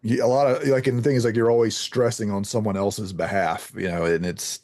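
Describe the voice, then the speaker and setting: A man with a low voice hosts a casual interview. He speaks haltingly, circling back over words, in a very dry, close sound. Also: fairly even intonation, a little mellow, no echo. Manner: halting, repeats words as he thinks. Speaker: interview host. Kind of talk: casual chat.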